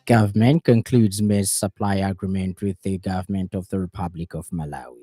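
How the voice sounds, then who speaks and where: A man's voice: low voice, fairly even intonation, moderate pace, music in the background. A man with a Zambian accent reading a scripted radio news bulletin as the presenter. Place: radio studio in Lusaka.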